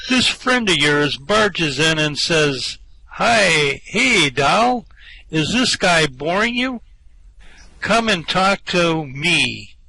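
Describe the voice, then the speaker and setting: A man's voice: on the high side, vocal fry, pushed and bright, heavily acted, low-quality recording. A man playing an indignant, jilted ordinary Englishman in a radio play, aggrieved and insistent. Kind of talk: amateur radio play acting.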